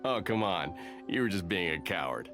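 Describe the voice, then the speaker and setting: A man playing a gruff, teasing soldier in a video game, blunt and mocking. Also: sits low, sing-song, moderate pace, over music.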